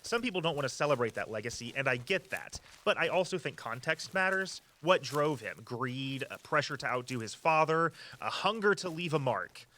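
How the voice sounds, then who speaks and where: An actor playing an eager, earnest walking-tour guide who tells local history with a storyteller's flair. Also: male voice, a little high, lively intonation, normal speed, few pauses, sharp tone, a little hiss or hum. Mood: earnest, reflective. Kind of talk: audio drama dialogue, storytelling tour patter.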